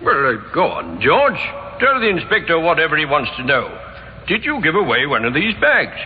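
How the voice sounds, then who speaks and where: An actor playing a defensive but obliging shop owner in an old radio drama, giving brisk, emphatic assurances. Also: male voice, a little high, wildly swooping pitch. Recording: tinny, echoing, noisy old radio recording.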